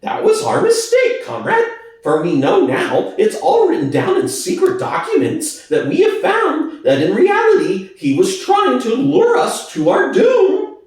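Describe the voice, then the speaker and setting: A man voicing a sly, excitable propagandist pig in a storybook reading, with theatrical, insistent oratory. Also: very high-pitched, unhurried, gravelly, voice pushed up, heavily acted. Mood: excited, urgent. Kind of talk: dramatic audiobook reading. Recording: echoey room, distant from mic.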